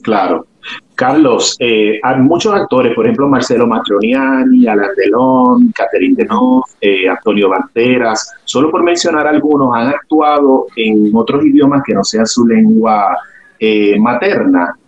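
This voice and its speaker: A man interviewing a guest, asking long questions in a measured way with filler pauses. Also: medium pitch, sing-song, muffled recording.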